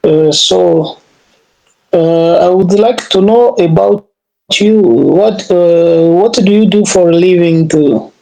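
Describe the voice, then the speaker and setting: A young African man whose first language is Swahili talks slowly on a video call. He hesitates often, filling gaps and restarting his sentences as he practises conversation. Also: high-pitched, vocal fry, mellow, poor audio. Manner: hesitant, many fillers and restarts. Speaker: geologist. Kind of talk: casual language-practice chat.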